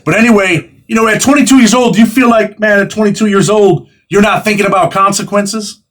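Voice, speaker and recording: A man's voice: high-pitched, steady tone, fairly bright tone. A man in his forties hosting a casual interview, talking in a conversational, streetwise way. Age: 40s. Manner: fast, conversational, streetwise slang. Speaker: host.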